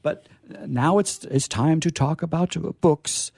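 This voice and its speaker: A man hosting a casual live discussion, with a hesitant, halting delivery. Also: fairly high pitch, darkish tone, dry recording, studio quality. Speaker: host.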